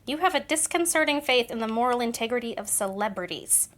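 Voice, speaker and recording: A young actress playing a sarcastic, guarded ex-actress daughter in an audio drama, with a dry, skeptical delivery. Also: female voice, fairly high pitch, expressive, a little fast, a bit bright. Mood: weary, doubtful. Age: young adult.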